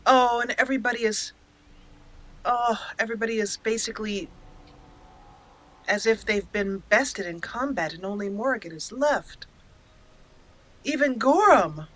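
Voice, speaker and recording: A woman hosting a gaming channel, giving expressive roleplay commentary and storytelling while talking to the game characters. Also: fairly high pitch, unhurried, pauses often, rough recording.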